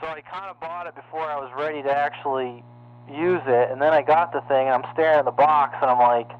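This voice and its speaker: A man chatting casually over CB radio in a halting, self-deprecating way. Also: fairly high pitch, steady tone. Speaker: CB radio operator. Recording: dull CB radio signal with hum.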